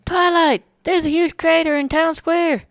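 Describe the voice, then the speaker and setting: A story reader voicing a brash, excitable young female cartoon pony, loud and blunt. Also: extremely high pitch, a little slow, dry recording, pitched above the performer's own voice, heavily acted. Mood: excited, alarmed.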